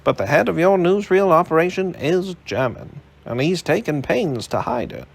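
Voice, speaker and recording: A man's voice: a little high, animated, normal speed, gravelly, pitched above the performer's own voice. A man reading aloud, voicing a brash young male newspaper reporter with a breezy, confident manner. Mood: cocky.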